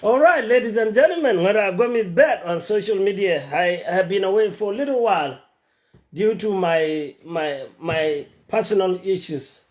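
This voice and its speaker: This is a middle-aged man from South Sudan talking live into his phone, his voice sitting fairly high for a man. He speaks in a halting way, often repeating words as he hunts for names and places. It sounds like a casual social media broadcast from home. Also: lively intonation. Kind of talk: Facebook Live talk. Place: home in Atlanta.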